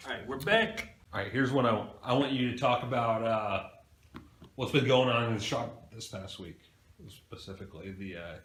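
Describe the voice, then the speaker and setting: A man's voice: mid-range voice, moderate pace, a bit bright. A man hosting a show in casual, conversational speech. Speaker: show host. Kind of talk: casual chat and show introductions. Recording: echoey room with faint background noise.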